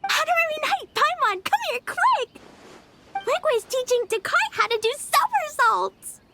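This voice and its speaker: A woman's voice: very high voice, very animated, measured pace, background noise, exaggerated voice. A woman voicing a bubbly, eager little child in a video game. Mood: urgent, thrilled. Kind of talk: video game cutscene acting.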